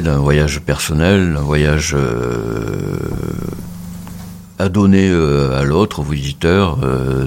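A man from around the Mediterranean, a photographer giving a radio interview, speaking haltingly with many pauses and fillers. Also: very deep voice, darkish tone, a little hiss or hum. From Mediterranean, between Tunisia and Marseille. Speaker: photographer, guest.

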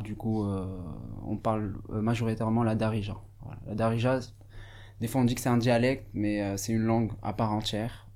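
A young man from Morocco whose first language is Arabic talks as a guest in a radio studio. His voice sits a little low. He speaks hesitantly and thoughtfully, full of pauses and restarts. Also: mellow. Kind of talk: casual radio interview.

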